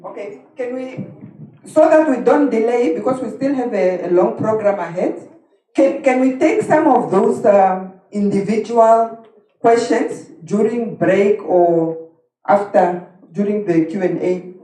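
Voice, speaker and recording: A woman facilitating a workshop, briskly keeping the session moving and answering questions. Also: medium pitch, animated, mellow. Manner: brisk, keeps things moving. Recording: echoey hall, distant mic.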